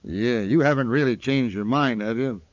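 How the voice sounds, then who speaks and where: An actor playing a scheming, pushy frontier swindler with a curt manner in an old-time radio western. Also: male voice, medium pitch, moderate pace, dry recording, lightly acted. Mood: pushy, anxious. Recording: dull vintage radio recording.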